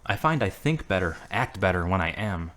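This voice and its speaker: A man playing an earnest, devout man in an amateur audio drama, speaking reflectively with gentle humor. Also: deep voice, very animated, moderate pace. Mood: serious, firm.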